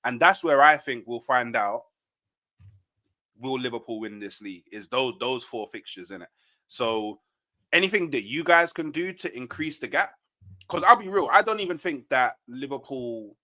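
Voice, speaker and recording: Man, bright forceful voice, slangy fan banter, casual panel talk. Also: mid-range voice. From England. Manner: slangy, ends points with "innit". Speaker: football fan panellist, Arsenal supporter.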